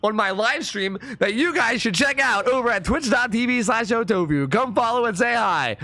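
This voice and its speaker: A young man with a hoarse, partly lost voice, chatting casually as a gaming YouTuber explaining his build. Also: very high-pitched, expressive, bright, forceful tone, dry recording. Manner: chatty, fast and filler-heavy. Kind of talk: casual gameplay commentary.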